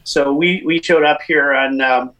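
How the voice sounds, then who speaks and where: A man's voice: fairly high pitch, steady tone, measured pace, bright, forceful tone, poor audio. Chatty middle-aged Canadian man. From Winnipeg, Canada. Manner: chatty storyteller with many fillers. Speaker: musician guest. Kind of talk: casual interview.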